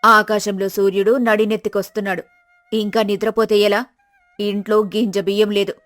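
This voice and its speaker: A woman's voice: mid-range voice, level tone, moderate pace, faint music behind, close to an everyday voice. A woman voicing a shrewish poor village wife, scolding and complaining, in a folk tale. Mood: scolding, sarcastic.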